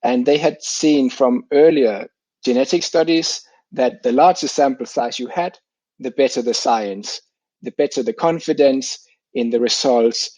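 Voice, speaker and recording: A middle-aged man from Denmark, explaining his scientific work as an interview guest in halting phrases with repeated words. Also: medium pitch, darkish tone, no room sound, low-quality recording. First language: Danish.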